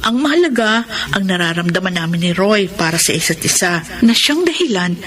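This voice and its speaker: An actress playing a caring, selfless eldest sister and young bride in a Filipino radio drama. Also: female voice, on the low side, very animated, fairly quick, a bit bright. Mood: gentle, content.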